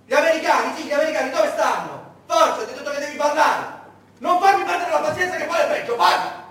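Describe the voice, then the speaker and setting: A man's voice: very high-pitched, fast, pitched above the performer's own voice. A man playing a menacing wartime interrogator who cajoles and threatens in a theatrical monologue. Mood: enraged shouting. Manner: cajoling, threatening, building to shouting. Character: menacing interrogator, falsely friendly then enraged, wartime soldier. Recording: very echoey stage, distant mic, faint noise.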